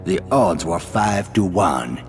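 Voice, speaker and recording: An actor playing a suave, eloquent French resistance leader in a video game, theatrical, with a French accent. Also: male voice, low-pitched, animated, takes it slowly, in a large hall, soft background music. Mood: confident, sly. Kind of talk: cinematic video game acting.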